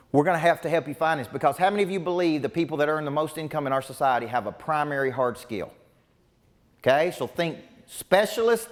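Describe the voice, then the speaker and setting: Forties male business coach, punchy seminar delivery, voice carrying with reverb through a large room. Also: a little high. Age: 40s. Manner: emphatic, punchy repetition. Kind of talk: motivational seminar talk. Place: large seminar room before a live audience.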